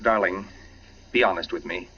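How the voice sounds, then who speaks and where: A man's voice: low-pitched, moderate pace, bright, forceful tone. A man acting an uneasy, suspicious but affectionate suburban husband in a 1940s radio drama. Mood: uneasy, probing. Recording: muffled, hissy 1940s radio recording.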